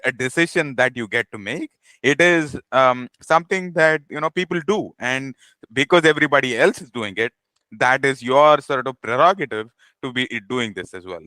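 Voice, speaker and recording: A male podcast host leads the talk in a halting way, stacking repeated words and "you know" fillers. His voice is bright and forward. The recording is dry and close, with no room sound. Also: mid-range voice, dry recording. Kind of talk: casual interview talk.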